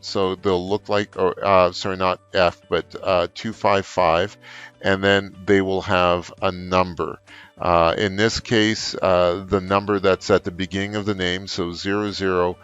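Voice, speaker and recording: A middle-aged man explains software step by step as an unscripted tutorial, with frequent hesitant pauses. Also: deep voice, level tone, clean tone, highs cut off, faint music behind. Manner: halting, frequent filled pauses. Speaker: trainer, tutorial presenter. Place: office room indoors.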